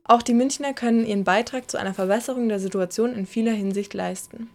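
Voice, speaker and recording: A woman's voice: a little high, quick pace. A woman reading scripted narration as the reporter of a news feature.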